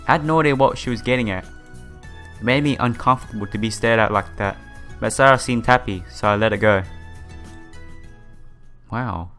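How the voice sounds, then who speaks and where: A man's voice: mid-range voice, normal speed, long pauses. A man hosting a casual let's-play, reading game text aloud and adding informal asides. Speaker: gaming video host. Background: soft game music.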